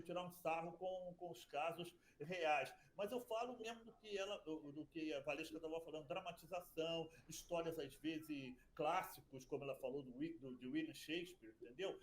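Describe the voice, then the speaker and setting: A man's voice: fairly high pitch, slight background noise, rough recording. A Brazilian man giving a rambling interview answer about radio drama, often restarting his sentences. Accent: Brazilian Portuguese. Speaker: guest, radio drama producer.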